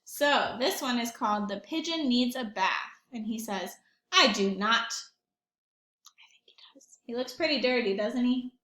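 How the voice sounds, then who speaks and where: A woman's voice: a little high, normal speed, fairly bright tone, roomy sound. A woman reading a picture book aloud to young children as a teacher would, expressively, with questions and asides to her listeners.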